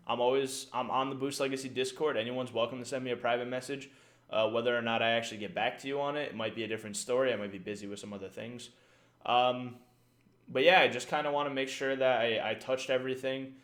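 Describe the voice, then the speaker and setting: A man's voice: medium pitch, a bit bright. Rambling man giving casting tips. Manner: rambling, frequent fillers and "right?". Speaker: esports caster giving tips. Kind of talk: casual livestream talk.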